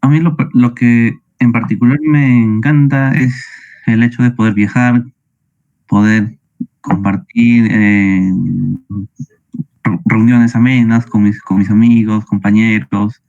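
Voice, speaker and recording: Slow, low-voiced hesitant man. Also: fairly even intonation, a little mellow. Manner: hesitant, with repeated words and restarts. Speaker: student. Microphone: video call. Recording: muffled video call audio.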